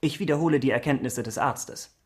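A man's voice: medium pitch, a little fast, lightly acted. Radio drama investigator, played by a man: mid-range male voice, earnest, polite, formal, persistent. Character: dutiful, courteous, persistent male police investigator. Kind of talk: German radio crime drama acting.

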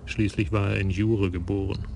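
Brief: male narrator, low and gravelly, wry measured delivery over music. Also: level tone, a little mellow, slight background noise. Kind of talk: scripted radio drama narration.

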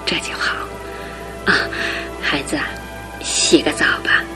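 An actress playing a gentle older aunt who raised her niece, speaking softly and tenderly in a half-whisper over music. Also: measured pace, natural voice. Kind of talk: 1970s radio drama acting.

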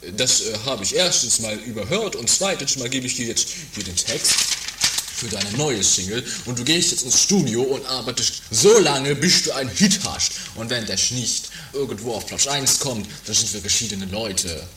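A man's voice: medium pitch, lively intonation, moderate pace, close to an everyday voice. A man playing a blunt, bossy record producer and exasperated husband in an amateur comedy radio play, with a sardonic manner. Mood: dismissive, bossy. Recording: echoey, distant, noisy amateur 1980s recording.

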